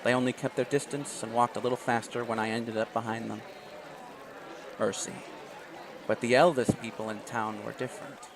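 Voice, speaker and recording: A man's voice: mid-range voice, steady tone, moderate pace, noisy background. A man reading a visual novel aloud in first person, with small restarts. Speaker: let's play host.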